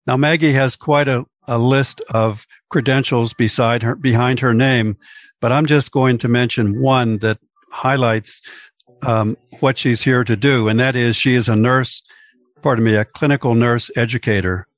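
A man's voice: mid-range voice, steady tone, moderate pace, no room sound. A man hosting an event and introducing a speaker in an informal, polite way.

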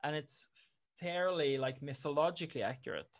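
A man's voice: fairly high pitch, normal speed. A man in casual conversation, rambling with lots of fillers and restarts, and laughing easily. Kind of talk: casual chat.

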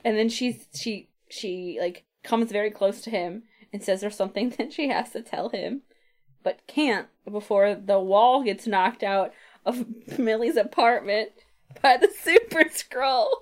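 Mid-pitched woman in casual podcast chat. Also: a little slow. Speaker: podcast co-host. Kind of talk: casual chat recapping a comic book.

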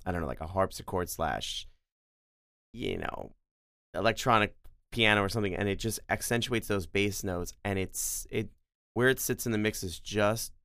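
A man co-hosting a casual music discussion, haltingly feeling out his descriptions and sounding out rhythms. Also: lowish voice, fairly bright tone, no echo, clean studio sound.

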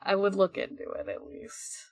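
A woman's voice: moderate pace, a crowd in the background. A woman co-hosting a podcast with casual, rambling, sweary banter and a giggly way of talking. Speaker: podcast co-host.

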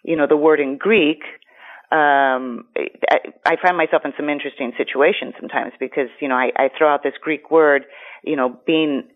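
A woman's voice: low voice, steady tone, normal speed. Greek woman on a tinny phone line. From United States. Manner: rambling, frequent fillers and restarts. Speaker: guest. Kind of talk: casual interview talk.

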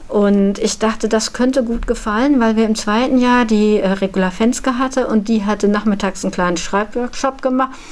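A woman's voice: a little high, steady tone, moderate pace, hardly any pauses, darkish tone. A woman telling of her experiences as an organiser in a spontaneous interview. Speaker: event initiator being interviewed.

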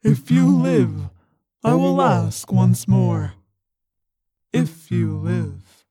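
An ominous, ancient alien being from an audiobook, speaking extremely slowly. The voice is dark and gravelly, with a husky edge and portentous weight. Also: female voice, rumbling low, wildly swooping pitch, dry recording, clean studio sound, pitched below the performer's own voice, heavily acted. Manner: slow, portentous.

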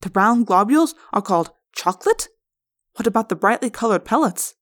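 A woman's voice: mid-range voice, lively intonation, brisk, close to an everyday voice. A woman voicing a young male alien in human form, earnest and formal, who plays with the sounds of words in an audiobook. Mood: fascinated. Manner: formal, precise, toys with word sounds.

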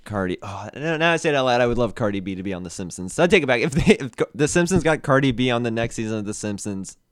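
A man's voice: medium pitch, animated, rapid-fire, smooth, no echo, studio quality. A young man from Canada, a comedian guest on a podcast, rambling casually with many "like" fillers.

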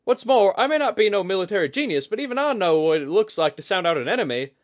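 A man voicing a hot-tempered, blunt seafaring woman in an audiobook story, snappish, with rough folksy speech. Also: sits high, very animated, quick pace, pitched above the performer's own voice. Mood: defiant. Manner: snappish, rough colloquial grammar.

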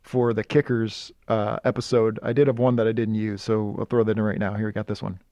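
A man's voice: on the low side, flat delivery, a little fast, mellow, no echo, highs cut off. A man from Michigan co-hosting a casual comic chat, rambling and correcting himself as he jokes. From Michigan, USA. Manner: rambling, self-correcting, jokey. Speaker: podcast co-host.

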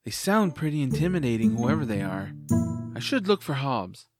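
A man's voice: medium pitch, sing-song, normal speed, lightly acted. A man playing an upbeat, curious young boy hero in an audio drama, eagerly talking to himself over music. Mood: surprised, eager. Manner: eager, self-narrating. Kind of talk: family audio drama acting.

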